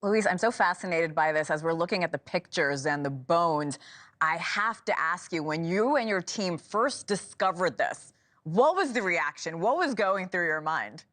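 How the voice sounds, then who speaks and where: A woman's voice: on the low side, animated, moderate pace, fairly bright tone. A woman hosting a TV interview show, introducing a guest and asking questions in a polished, upbeat presenter style. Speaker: TV show host.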